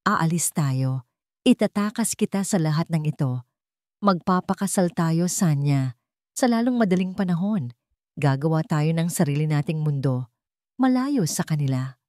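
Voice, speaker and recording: A woman's voice: low-pitched, expressive, normal speed, warm tone, no echo, studio quality. A woman voicing an anguished, protective young man in a romantic audio drama, solemn and earnest. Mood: resolute, tender. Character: anguished, protective young male CEO confessing forbidden love.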